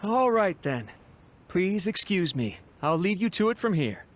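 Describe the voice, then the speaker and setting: A man's voice: high voice, sing-song, unhurried. A man voicing an eager, respectful young retainer in a video game, speaking with deference. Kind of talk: video game character acting.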